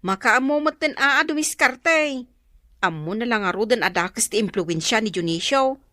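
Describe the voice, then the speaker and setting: A woman's voice: a little high, animated, moderate pace, sharp tone, no room sound, clean studio sound. A woman with an Ilocano accent playing an inquisitive, disapproving household member in a radio drama.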